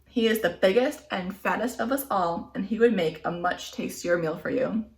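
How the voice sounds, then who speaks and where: A woman voicing a middle-sized male billy goat who is anxious but steady, in a slightly raised storybook voice. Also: medium pitch, moderate pace, creaky, roomy sound, close to an everyday voice. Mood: wary.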